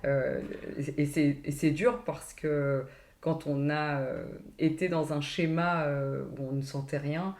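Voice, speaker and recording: A woman's voice: sits low, steady tone, takes it slowly, a little mellow. Reflective woman host in a roomy echo. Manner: hesitant, many fillers and restarts, reflective. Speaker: podcast host. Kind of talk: casual interview talk.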